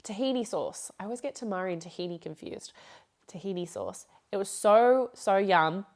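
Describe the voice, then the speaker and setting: Young aussie woman podcasting, stuffy nose so kinda nasal, rambly and chatty, laughs a lot, mid-pitched. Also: animated, moderate pace, darkish tone. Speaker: podcast host. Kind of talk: casual solo podcast talk.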